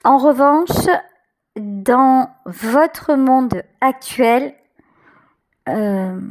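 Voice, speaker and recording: A woman with a high voice gives a tarot reading, speaking directly to her viewers. Her pace is very slow, with frequent pauses as she searches for the right words. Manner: hesitant, searching for words, pausing often. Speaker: tarot reader, channel host. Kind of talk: intuitive tarot card reading addressed to viewers.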